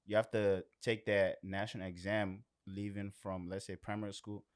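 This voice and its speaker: A man's voice: lowish voice, little pitch movement, moderate pace. A man telling stories casually as a podcast guest, emphatic and conversational, often circling back over his words. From Suriname or abroad, later moved away. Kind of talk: casual storytelling chat.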